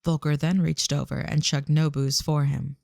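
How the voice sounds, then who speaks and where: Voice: medium pitch, flat delivery, normal speed, gravelly, darkish tone, no room sound, studio quality. A narrator reading scripted storytelling for an audio drama.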